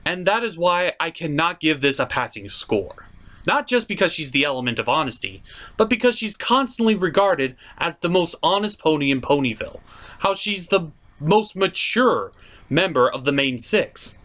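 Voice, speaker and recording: A young man hosting a comedic cartoon review, theatrical and opinionated as he riffs. His voice sits a touch high and swings widely in pitch. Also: sing-song, moderate pace, bright, forceful tone.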